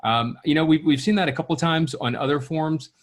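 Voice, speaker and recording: A man moderating questions on a video call, talking off the cuff in a hesitant, halting way. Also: on the high side. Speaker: meeting moderator relaying questions. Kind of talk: unscripted question-and-answer talk.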